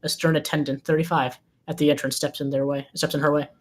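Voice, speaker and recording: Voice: medium pitch, little pitch movement, quick pace. A narrator reading scripted stage directions aloud at a screenplay table read.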